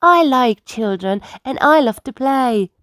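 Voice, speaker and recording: A woman's voice: high voice, expressive, unhurried, a bit bright, no echo, studio quality, pitched above the performer's own voice. Woman voicing a curious, timid old bus. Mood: delighted, amazed. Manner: wondering, talking to himself. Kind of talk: children's storybook character voice.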